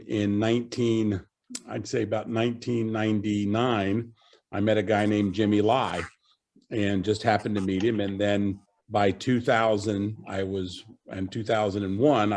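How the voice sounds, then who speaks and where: A middle-aged man from Virginia, a panelist giving a casual, rambling self-introduction over a video call. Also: on the low side. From Virginia, USA. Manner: relaxed, rambling with many fillers.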